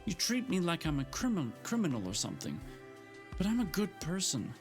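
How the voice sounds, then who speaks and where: A man reading aloud as a defiant young woman, her voice lifted well above a man's usual range, pleading and indignant as she stands her ground. She speaks slowly, leaning on her words, with faint music underneath. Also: very animated. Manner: pleading, indignant, voice pushed up high.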